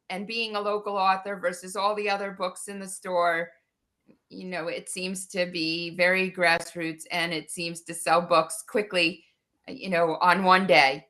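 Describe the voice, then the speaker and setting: A woman answering interview questions as an author, conversational and halting, often repeating words and trailing off. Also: on the low side, level tone, bright, forceful tone.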